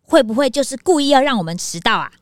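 Young detective's helper, kids' audio story: tiny high voice, animated, eager and impulsive. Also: female voice, fast talker, dry recording, clean studio sound. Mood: puzzled. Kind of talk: children's audio drama acting.

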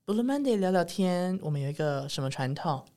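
A woman's voice: on the low side, expressive, brisk, mellow. A woman with a Taiwanese Mandarin accent, co-hosting a podcast in casual, playful interview chat. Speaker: podcast co-host.

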